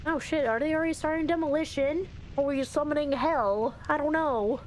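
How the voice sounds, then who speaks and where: A woman's voice: high-pitched, a little fast, slight background noise. A woman giving wry, chatty running commentary as she plays a story game. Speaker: streamer reading a visual novel aloud. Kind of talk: playthrough commentary with reactions.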